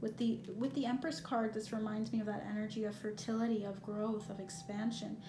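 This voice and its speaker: A woman giving a pick-a-card tarot reading, talking in a gentle, reassuring, musing way. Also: medium pitch, flat delivery, fairly quick. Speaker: tarot reader. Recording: echoey room with noise.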